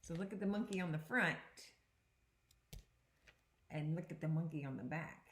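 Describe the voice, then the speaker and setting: A woman teacher giving an interactive read-aloud for young children, reading deliberately and pausing to put questions to her listeners. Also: low-pitched, reverberant, slight background noise. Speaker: second grade teacher.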